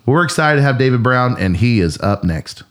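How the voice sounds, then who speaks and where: A man's voice: mid-range voice, lively intonation, normal speed, loud music behind the voice. A man who is a pastor, hosting a casual podcast in a playful, rambling way and laughing often.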